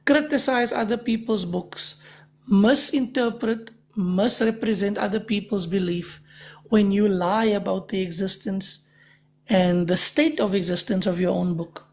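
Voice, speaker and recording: A woman's voice: medium pitch, a little slow, darkish tone. A woman hosting a livestream discussion, speaking in an emphatic, pointed way. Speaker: livestream host. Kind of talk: casual debate and chat. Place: livestream call.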